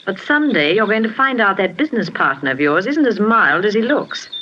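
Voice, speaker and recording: An actress playing a spirited, sharp-tongued young woman in an old-time radio drama. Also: medium pitch, very animated, fairly quick, close to an everyday voice. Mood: scornful, threatening. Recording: muffled, noisy vintage radio recording.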